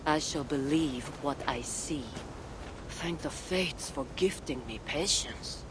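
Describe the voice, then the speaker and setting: A stern norse queen for a game, low voice, dry and commanding, rumbly noise behind. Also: slow pace. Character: stern, wry goddess queen and long-suffering wife. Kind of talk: dramatic video game acting.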